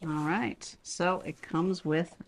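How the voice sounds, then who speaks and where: A woman's voice: fairly low pitch, takes it slowly, a little hiss or hum. A woman co-hosting a casual unboxing and product demonstration, recorded inside a home. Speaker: product review co-host. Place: indoors at a home.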